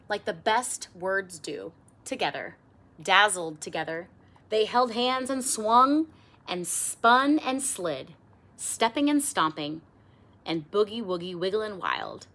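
A middle-aged woman reading a children's picture book aloud expressively, with theatrical emphasis and drawn-out words. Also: medium pitch, pushed and bright. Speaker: storybook reader. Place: small indoor room with bookshelves.

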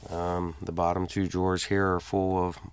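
Deep flat-voiced guy, slow and easygoing, walking through his gear room on his phone, faint room hum. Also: clean tone. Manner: plain, matter-of-fact listing with hesitant fillers. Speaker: hobbyist giving a tour of his fishing workshop. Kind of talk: casual walkthrough narration. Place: cluttered home workshop indoors. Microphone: handheld phone camera held by the speaker.

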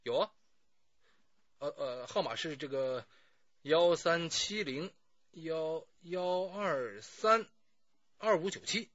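A man's voice: fairly high pitch, expressive, slow pace, long pauses, a bit bright, dry recording, lo-fi sound. An actor playing a talkative, self-justifying middle-aged man under police questioning in a radio drama, pleading and sighing as he explains. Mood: explaining.

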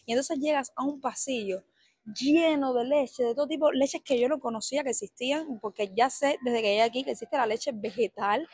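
A woman from Cuba with a Cuban Spanish accent, chatting excitedly to her viewers while walking a supermarket aisle. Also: sits high, fairly quick, husky, dry recording. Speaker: vlogger. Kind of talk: casual walk-through vlog.

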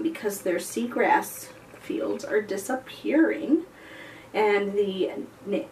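An American woman leads a children's storytime at an unhurried, very slow pace. She reads expressively with a sing-song lilt. Her voice sounds a little distant from the microphone. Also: on the high side, slight background noise. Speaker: children's librarian, storytime host. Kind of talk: children's storytime read-aloud and talk. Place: indoors, before a chalkboard backdrop. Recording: voice slightly distant from the mic.

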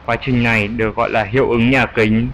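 A man's voice: medium pitch, steady tone, a little fast, fairly bright tone, a little hiss or hum, natural voice, poor audio. A man voicing a wise, elderly grandfather bat who patiently explains things in an educational cartoon dub. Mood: patient, explaining. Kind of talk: dubbed educational cartoon dialogue.

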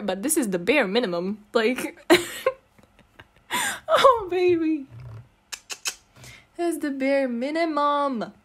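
A woman playing a giggly, teasing, affectionate girlfriend in an intimate ASMR roleplay, non-native in English, with soft, casual, rambling talk. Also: a little high. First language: not English. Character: giggly, teasing, affectionate gamer girlfriend comforting her partner.

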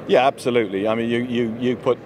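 A man in his forties with a medium-pitched voice explains a product conversationally. He is being interviewed at an indoor trade show on a handheld mic, with clear noise around him. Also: fairly even intonation, fast talker. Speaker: company executive, interview guest. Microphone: handheld microphone held by interviewer.

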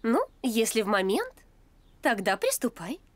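A woman's voice: a little high, wildly swooping pitch, measured pace. A woman voicing a stern, no-nonsense mother dog in a children's cartoon, with a firm, dryly reproachful manner. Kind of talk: children's animated series acting. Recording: music under the voice.

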